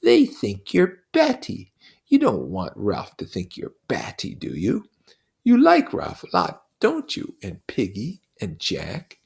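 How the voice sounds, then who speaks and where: A narrator voicing a menacing, mocking pig's head, the beast, with drawn-out schoolmasterly condescension in a story read aloud. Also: mid-range voice, wildly swooping pitch, vocal fry, slightly rough, pitched above the performer's own voice, full character voice.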